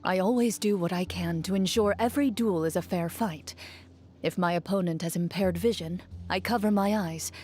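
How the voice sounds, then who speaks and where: A woman playing a composed, dutiful young female champion duelist in a video game, speaking in a formal, measured, reserved way. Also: fairly low pitch, a little mellow, slight background noise, close to an everyday voice. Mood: stern, matter-of-fact. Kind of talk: video game character voice lines, reflective monologue. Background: music under the voice.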